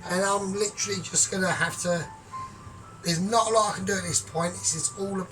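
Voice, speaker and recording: A man talking through his cooking in a rambling, grandiose, sweary way, often trailing off quiet. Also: high-pitched, steady tone, brisk. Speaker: home cook vlogger. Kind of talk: casual cooking vlog. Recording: distant mic with music behind.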